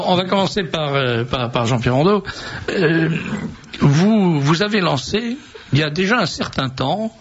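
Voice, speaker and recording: A man's voice: on the high side, vocal fry. A man hosting a talk radio show, chatting wryly and drifting off on asides. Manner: wry, digressive, many fillers. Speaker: radio host. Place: radio studio. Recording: dull lo-fi radio recording with faint hiss.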